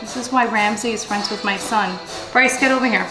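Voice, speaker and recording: A woman's voice: fairly high pitch, brisk. A woman from the Dominican Republic hosting a casual cooking vlog in her home kitchen, chatting playfully with viewers and the kids around her. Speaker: cooking channel host. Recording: echoey kitchen with music playing.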